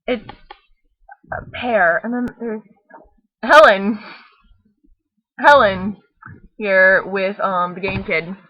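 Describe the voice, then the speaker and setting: A woman with a slightly husky voice casually reading a picture book aloud, rambling into playful, mock-dramatic chatty asides on a laptop microphone. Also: mid-range voice, fairly even intonation, takes it slowly, pauses often, dry recording. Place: at home, close to a laptop on a bed. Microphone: laptop webcam microphone. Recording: muffled lo-fi laptop webcam audio.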